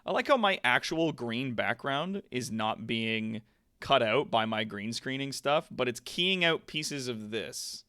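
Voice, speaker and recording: A man chatting as a livestream host. His voice is smooth and unhurried, recorded dry, and he explains in a casual, playful, slightly hesitant way. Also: medium pitch, lively intonation, sharp tone, no echo.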